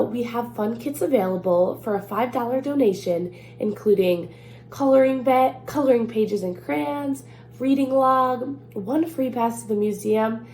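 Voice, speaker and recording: A woman's voice: on the high side, warm tone. A woman presenting a children's storytime as a museum staff member, recorded in a roomy space. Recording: roomy echo, faint background noise.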